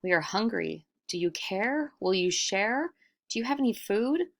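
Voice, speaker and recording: A woman's voice: medium pitch, expressive, a little slow, creaky, pitched above the performer's own voice. A woman voicing cheerful, persuasive hungry travelers with sing-song, exclaiming delivery in a storybook. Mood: pleading. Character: two hungry, cheerful, persuasive male travelers, showy master cooks.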